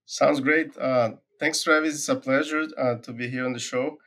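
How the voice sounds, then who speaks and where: A middle-aged man from Brazil with a Brazilian accent, a university agronomy professor answering interview questions in a relaxed, thoughtful way, pausing often with "uh" and repeating words as he finds them. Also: medium pitch. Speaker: forage agronomy professor, guest.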